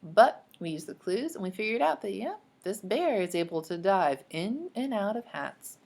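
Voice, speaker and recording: A woman's voice: mid-range voice, very animated, moderate pace, a little mellow. A woman teacher leading a children's read-aloud lesson, explaining in an encouraging way and asking guiding questions. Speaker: speech-language teacher.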